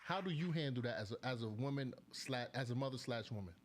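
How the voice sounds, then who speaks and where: A young man hosts a podcast in a loose, joking way. He laughs often and talks in heavy slang. His voice sits at an ordinary middle pitch. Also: expressive, quick pace. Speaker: podcast host. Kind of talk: casual podcast banter.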